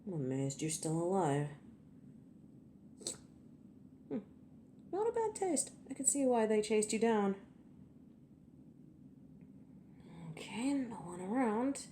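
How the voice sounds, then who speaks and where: A woman's voice: medium pitch, big pitch swings, normal speed, mellow, slight background noise, close to an everyday voice. A woman playing a cocky, teasing werewolf in a scripted audio roleplay, sardonic and protective with an edge of menace, speaking to the listener. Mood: concerned, muttering. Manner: sardonic, taunting, playful.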